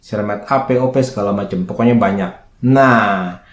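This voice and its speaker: A man with a slightly hoarse voice gives an informal, chatty skincare lesson, asking himself questions and then answering them. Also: fairly low pitch, normal speed. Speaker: skincare educator and video host. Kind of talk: informal explainer to camera. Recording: roomy, echoing indoor sound.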